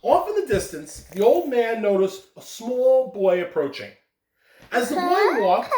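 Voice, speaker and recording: A man addresses young schoolchildren with emphatic, highly varied intonation. He delivers announcements and stories in a direct, animated way. The recording is echoey, with his voice some way from the microphone. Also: noisy background. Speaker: school announcer reading aloud. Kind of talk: morning announcements and storytelling for children. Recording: echoey room, distant microphone.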